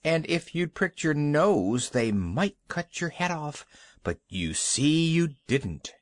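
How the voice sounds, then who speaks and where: A reader voicing a wise old wizard who speaks calmly and consolingly. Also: mid-range voice, slow pace, no room sound, voice pushed up. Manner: consoling, wry.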